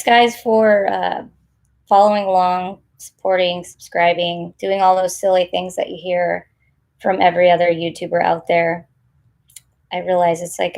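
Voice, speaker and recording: A woman with a naturally raspy voice, still hoarse after losing it, talks loosely to her viewers. She rambles through fillers and small laughs. Her tone is gravelly at a middling pitch. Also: darkish tone. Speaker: vlogger answering live chat. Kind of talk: casual live-stream chat.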